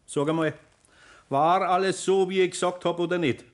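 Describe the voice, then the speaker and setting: An older master craftsman, acted by a man in an old radio play. His Bavarian voice sits a little high. He is folksy and chiding, gruff but good-natured. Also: fairly even intonation, moderate pace, close to an everyday voice. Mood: stern, teasing. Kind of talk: 1960s German radio play acting.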